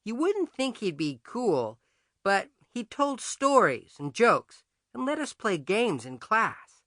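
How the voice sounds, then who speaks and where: A man narrating an audiobook in the first person as a boy, conversational, wry and expressive. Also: high-pitched, slow, no echo, clean studio sound. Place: dry studio.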